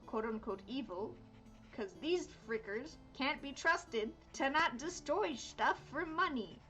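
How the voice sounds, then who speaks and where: A woman's voice: sits high, expressive, slow pace, bright, forceful tone, faint music behind. Chatty rambling woman art streamer. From Miami, United States. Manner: rambling, chatty, lots of fillers and self-corrections. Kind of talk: casual chat while drawing.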